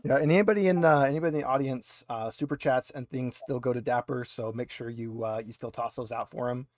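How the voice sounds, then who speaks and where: A man narrating a tabletop roleplaying game as game master, casual and explanatory, repeating himself haltingly. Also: mid-range voice, fairly even intonation, darkish tone, no echo.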